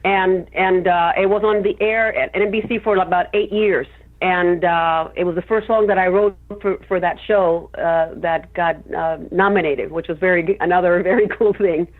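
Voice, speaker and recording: A woman's voice: lowish voice, fairly even intonation, moderate pace. A woman from Cuba, a Spanish speaker, chatting as a guest singer-songwriter and telling rambling stories with frequent laughs. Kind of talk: casual interview chat. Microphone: phone. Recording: tinny phone line.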